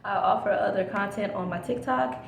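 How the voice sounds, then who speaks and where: A woman's voice: mid-range voice, normal speed, creaky. A woman reads tarot cards for her audience in a loose, conversational way, repeating encouragements and checking in often. Her voice sits in an ordinary middle range with a faint scratchiness from an oncoming cold. The recording carries noticeable room echo and a faint hiss underneath. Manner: chatty, repeats reassurances, frequent "okay?" check-ins. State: slightly scratchy from a coming cold. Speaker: tarot reader. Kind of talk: casual tarot reading to camera.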